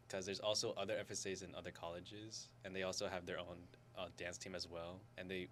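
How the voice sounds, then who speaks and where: Low, flat-toned young man, noisy recording. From Florida, born in the Philippines. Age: young adult. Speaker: student club guest, dance coordinator. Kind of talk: casual interview chat. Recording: clear background noise with hum.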